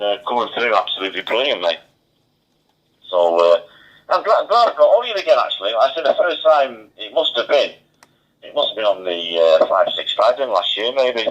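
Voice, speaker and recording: Low-voiced man over radio. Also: a bit bright. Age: middle-aged. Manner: rambling, chatty. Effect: two-way radio transmission. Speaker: amateur radio operator. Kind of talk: casual radio chat. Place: mobile, speaking over two-way radio. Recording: muffled digital two-way radio audio.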